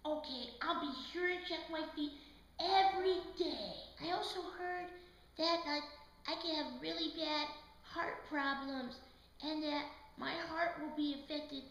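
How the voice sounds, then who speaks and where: A woman's voice: sits high, measured pace, fairly bright tone. A woman voicing a confused, worried puppet patient in an educational show, with a hesitant, plaintive, slangy way of talking. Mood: anxious. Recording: very echoey room, far from mic.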